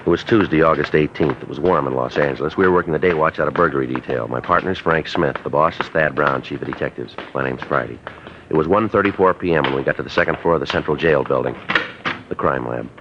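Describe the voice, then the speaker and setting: A terse, deep-voiced police sergeant. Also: male voice, fast talker. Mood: flat. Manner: clipped, matter-of-fact delivery. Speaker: detective narrator. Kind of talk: 1950s radio drama acting. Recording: dull old radio broadcast with hiss.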